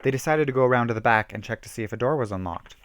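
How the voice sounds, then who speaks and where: A man's voice: mid-range voice, expressive, racing, a bit bright, no room sound, studio quality. A man giving an emphatic, theatrical reading of a horror story, stumbling now and then. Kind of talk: dramatic reading of a self-written horror story.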